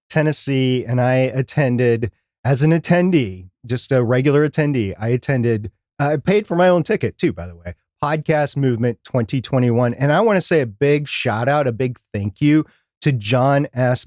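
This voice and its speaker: A man with a mid-pitched voice talks casually as a podcast co-host. He is emphatic, often circling back over a phrase to stress it, and the sound is close and dry. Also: normal speed, darkish tone, dry recording. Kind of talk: casual live-stream podcast talk.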